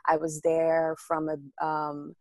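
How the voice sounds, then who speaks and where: A woman's voice: deep voice, monotone, slow, darkish tone, dry recording. A young woman from the Washington DC and Maryland area, telling her life story in a casual interview, chatty and full of detail. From United States, Washington DC and Maryland area. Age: young adult. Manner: chatty, detailed storyteller with frequent ums and laughs. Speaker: radio show host and guest.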